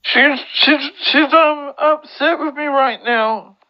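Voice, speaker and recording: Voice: high voice, takes it slowly. Upset young adult venting on muffled radio. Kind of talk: casual venting over radio. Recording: dull lo-fi walkie-talkie audio.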